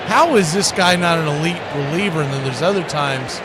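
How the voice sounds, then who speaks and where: A man doing excitable play-by-play baseball commentary, folksy and emphatic, mixed with casual banter. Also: on the high side, fairly quick, background noise.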